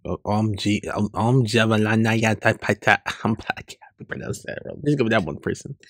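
A man reading a webcomic aloud as a host, excitable, with casual asides and stumbles over hard names. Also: lowish voice, fast talker, dry recording, studio quality. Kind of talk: reaction read-along with casual asides.

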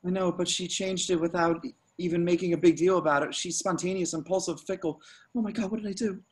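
A man playing an awkward, anxious young slacker musician in an amateur script reading, with a halting, stammering delivery. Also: high-pitched, steady tone, slightly rough. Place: remote video call.

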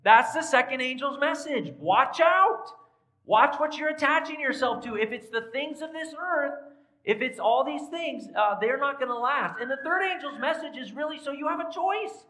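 A man in his forties preaching a sermon in a large church, tired after a recent cold, swinging from soft asides to loud emphatic lines. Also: very high voice, normal speed, low-quality recording. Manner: animated, pacing the stage with sweeping gestures. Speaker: pastor. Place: large church sanctuary, indoors.

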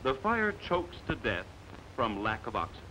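An American man narrating a scripted 1950s training film in a measured, authoritative, emphatic manner, on a vintage soundtrack. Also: mid-range voice, sing-song, bright, forceful tone, a little hiss or hum, poor audio.

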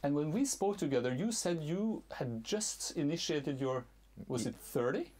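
A man in his thirties hosting a casual interview, teasing and playful, halting with fillers, in a cluttered storage room. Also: a little high, animated, darkish tone. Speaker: talk show host.

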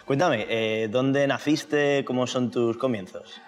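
A man's voice: mid-range voice, takes it slowly. Man, thirties, interview host, scripted intro, curious questions, music behind. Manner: warm-toned questions, frequent short backchannels. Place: indoor office lounge. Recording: intro with clear background music.

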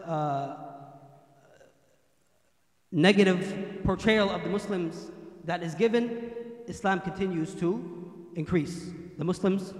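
A young man preaching a Friday sermon as imam into a standing mic in a mosque hall, quoting Arabic passages and translating them into English. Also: high-pitched. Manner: quotes Arabic hadith then translates into English. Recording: echoey hall.

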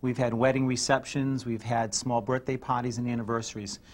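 A middle-aged man, a chef and restaurant owner, answering interview questions in his restaurant in a relaxed way, with many fillers as he lists dishes. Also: medium pitch, a little fast. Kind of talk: on-camera interview and cooking demo. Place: restaurant dining room and kitchen.

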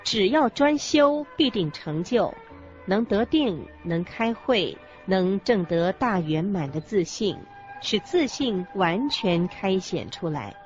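A woman playing a solemn explainer of Buddhist teachings, emphatic and deliberate, in a scripted radio drama. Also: medium pitch, big pitch swings, darkish tone. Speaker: co-presenter in a radio lecture drama. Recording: dull lo-fi audio with background music. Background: music.

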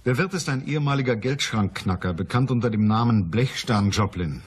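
An actor playing a dry, commanding private detective in a crime radio play, matter-of-fact and wry. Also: male voice, mid-range voice, lively intonation, brisk, husky. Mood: businesslike. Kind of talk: German crime radio play, dialogue and narration.

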